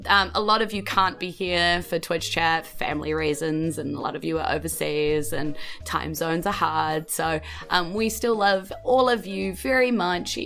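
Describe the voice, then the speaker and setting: A woman's voice: on the low side, normal speed, creaky, few pauses, a bit bright. A woman with a New Zealand accent hosting a tabletop game podcast as game master, speaking in a gushing, affectionate way. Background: faint music.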